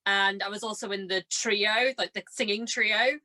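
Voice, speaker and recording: Young woman, bright forward voice, chatty podcast guest telling stories, really gushy and excitable. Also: medium pitch, moderate pace. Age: young adult. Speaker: podcast guest, amateur actress. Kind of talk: casual chat, anecdotes.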